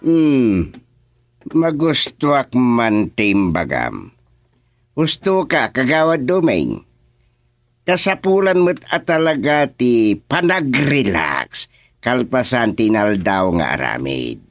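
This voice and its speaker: A relaxed village man with an Ilocano accent in a radio serial. He speaks slowly with very animated intonation and thoughtful hums. The sound is close and dry. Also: medium pitch, dry recording. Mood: thoughtful, agreeing. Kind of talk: radio serial drama acting.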